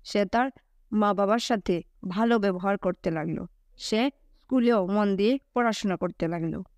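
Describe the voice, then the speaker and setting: Woman, children's story narrator, medium pitch, dry studio-like sound. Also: a little mellow, no room sound.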